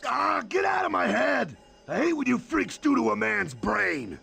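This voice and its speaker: Gravelly, cocky brute of a villain. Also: male voice, very high-pitched, big pitch swings, a little slow, pushed and bright, shouting, soft background music, full character voice. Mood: furious. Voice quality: gravelly, raspy. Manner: slangy, blustering, belligerent. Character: brutish, cocky hired supervillain bruiser, sneering and hot-tempered. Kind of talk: animated video game villain acting.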